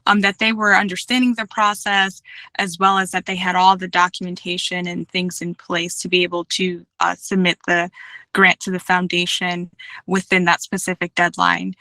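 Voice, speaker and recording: A woman answering job interview questions over a video call, measured and careful, with frequent fillers and restarts. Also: lowish voice, level tone, bright, forceful tone, dry recording. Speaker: job candidate.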